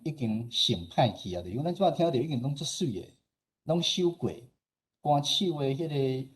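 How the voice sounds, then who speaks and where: A man's voice: mid-range voice, a little mellow, husky. A man with a Taiwanese accent presenting in a conversational, explanatory way, often ending phrases with small agreement-seeking particles. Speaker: radio station director and host presenting a talk. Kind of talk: informal slide presentation.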